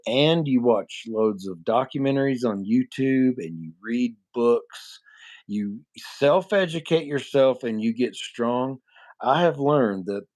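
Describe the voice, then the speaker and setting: A man's voice: mid-range voice, a little mellow. A man in his forties with a nasal voice, hosting a livestream and answering chat questions in a blunt, rambling way that is full of swearing. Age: 40s. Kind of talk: casual live chat.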